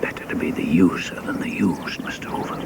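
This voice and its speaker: An actor playing a skeptical senior statesman in a radio play, dry and deliberate, hesitating as he cautions. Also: a bass voice, steady tone, vocal fry, noisy background. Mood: gently warning.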